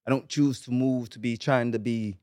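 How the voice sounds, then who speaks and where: A relaxed man from Barbados talks easygoingly as a podcast guest, his voice close and dry on a studio mic. His pitch sits in the middle range for a man. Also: moderate pace, dry recording. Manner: easygoing, repeats "you know what I'm saying". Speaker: guest musician. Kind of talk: casual podcast chat.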